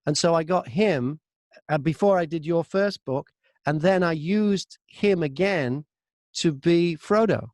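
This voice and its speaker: A man's voice: fairly high pitch, unhurried, a little mellow, no room sound. A British man, an audiobook narrator, speaking as an interview guest and explaining things conversationally with examples.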